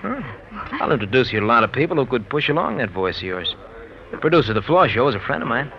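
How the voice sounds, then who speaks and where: An American actor playing a smooth-talking, brash professional gambler in 1940s radio drama, with a slangy, cajoling delivery. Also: male voice, medium pitch, sing-song, fast. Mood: smooth persuasion. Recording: dull, lo-fi old radio recording.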